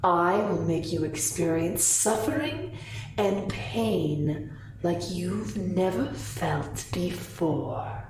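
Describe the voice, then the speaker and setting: A fierce, vengeful kitsune woman from a fantasy story, acted out by a woman narrator. She issues her threats slowly and deliberately. The sound is echoey and a little far from the mic. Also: fairly low pitch, darkish tone. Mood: menacing. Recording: roomy echo, distant mic, faint noise.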